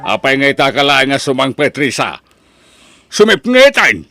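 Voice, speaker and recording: A man's voice: mid-range voice, big pitch swings, moderate pace, vocal fry, pushed and bright, faint music behind, heavily acted. A man playing a strict, hardworking older father, a farmer, in an Ilocano drama with emphatic delivery. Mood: stern, scolding. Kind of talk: Ilocano radio-style drama acting.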